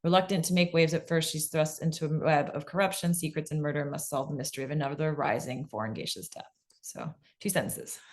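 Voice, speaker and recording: A woman with a fairly deep voice presents to a class on a video call. Her delivery is casual and conversational, with emphatic points and frequent little check-ins. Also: steady tone, quick pace. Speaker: podcast pitching workshop presenter.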